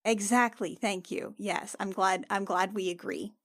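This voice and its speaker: A woman playing a flustered, awkward adventurer in a tabletop game, halting, repetitive and over-insistent. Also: mid-range voice, fairly even intonation, vocal fry. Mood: flustered, cagey. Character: flustered, awkward young adventurer hiding embarrassment.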